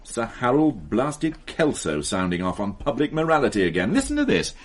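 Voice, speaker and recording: An actor playing a sharp-tongued police inspector with a dry, sardonic, commanding manner in a radio drama. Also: male voice, mid-range voice, huge pitch swings, moderate pace.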